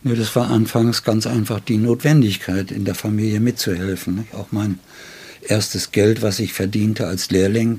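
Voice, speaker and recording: Husky older man, lowish voice, reminiscing. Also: a little mellow. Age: 60s or older. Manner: ornate wording with frequent "ne?" tags. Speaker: writer being interviewed. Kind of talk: reflective conversational storytelling. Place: indoors at his home.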